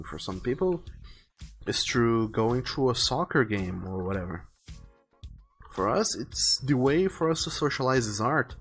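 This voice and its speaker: A man hosting a casual art livestream, talking to viewers in an earnest, conversational way. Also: mid-range voice. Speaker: livestreaming artist and host. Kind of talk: casual livestream chat, reading viewer messages aloud and giving advice. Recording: music behind the voice. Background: faint background music.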